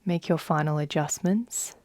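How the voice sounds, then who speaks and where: A woman guides a meditation at a very slow pace, leaving long pauses between phrases. Her voice is soft, mellow and fairly low. The sound is close and dry, and her delivery is soothing throughout. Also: no room sound. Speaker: yoga nidra guide.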